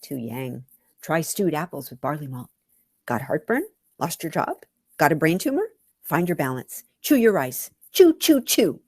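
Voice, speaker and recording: A woman reading her own first-person story aloud with wry, deliberate, dramatic phrasing. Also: deep voice, sing-song, a little mellow, slightly rough, dry recording, studio quality.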